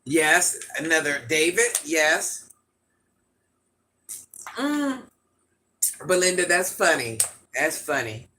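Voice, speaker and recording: A man with an itchy, scratchy throat, hosting a livestream in a grandmother persona and chatting through viewer comments with quick laughs and asides. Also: fairly high pitch, sing-song, bright, forceful tone. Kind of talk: casual live chat answering viewer comments.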